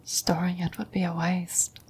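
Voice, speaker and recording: A woman playing a gentle, wise, dying old woman in a whispered, breathy, solemn ASMR roleplay. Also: on the low side, flat delivery, slight background noise, lightly acted. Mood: grave, questioning. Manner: slow, solemn, measured delivery.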